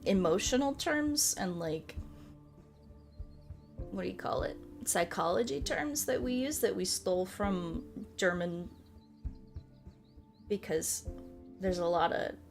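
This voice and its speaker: A sleepy American woman chatting casually as she streams a puzzle game, soft-spoken and relaxed, musing and answering viewers. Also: mid-range voice, creaky. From United States. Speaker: ASMR creator and live streamer. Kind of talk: casual chat while playing a puzzle game, reading and answering viewers' comments. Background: soft music.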